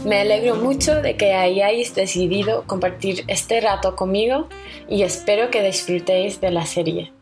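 A young woman from Connecticut with an American accent, hosting a radio series in a careful, measured, scripted way with small self-corrections. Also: medium pitch, a little mellow, soft background music. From Connecticut, United States.

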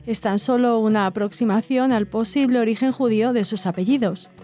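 Mellow-voiced woman radio host. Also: a little high. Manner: measured, gentle, with some hesitations. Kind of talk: reading listener letters on radio. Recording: faint music underneath.

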